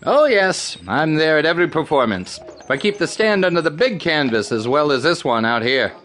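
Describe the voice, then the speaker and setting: An actor playing a wheedling, folksy circus candy seller in an audio drama, with a self-justifying, rustic way of talking. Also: male voice, a little high, animated, normal speed, a bit bright, a little hiss or hum. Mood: folksy, sly.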